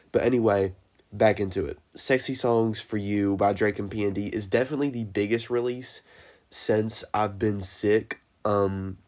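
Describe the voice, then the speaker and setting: Congested young man, low voice. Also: a little mellow. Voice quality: deeper than usual from congestion. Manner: rambling, self-correcting, many fillers. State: sick and congested. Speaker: music reviewer. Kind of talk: casual album review.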